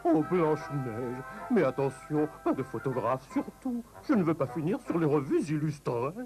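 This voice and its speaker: A man's voice: on the high side, big pitch swings, normal speed, music in the background. A man voicing a pompous, sentimental talking owl in a theatrical, mock-solemn cartoon style. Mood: sentimental, fussy. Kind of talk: French cartoon dub.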